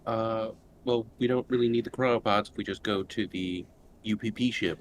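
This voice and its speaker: A man's voice: lowish voice, moderate pace. A man playing a tabletop game, chatting casually in laid-back, offhand remarks. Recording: clearly noisy tabletop session recording.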